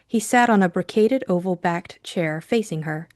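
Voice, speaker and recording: A woman's voice: fairly low pitch, moderate pace, a little mellow, dry recording, studio quality. A woman reading a novel as an audiobook narrator, in scripted dramatic narration.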